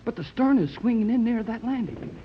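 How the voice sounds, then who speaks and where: An actor playing an eager, earnest young lad in a 1930s radio serial, boyish and polite, exclaiming and slightly halting. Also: male voice, extremely high pitch, expressive. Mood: excited, alert. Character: eager, earnest boy adventurer, polite and excitable. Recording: muffled, noisy 1930s radio recording.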